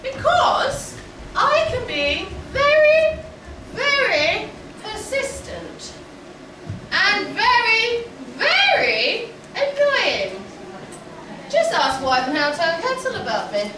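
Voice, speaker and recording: A woman playing a silly, excitable, flustered mother dame in a stage pantomime, with exaggerated comic dithering. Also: very high voice, slow, exaggerated voice. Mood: comic defiance. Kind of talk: amateur pantomime stage acting. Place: stage in a small theatre. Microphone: distant camera. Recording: very echoey noisy theatre, distant camera.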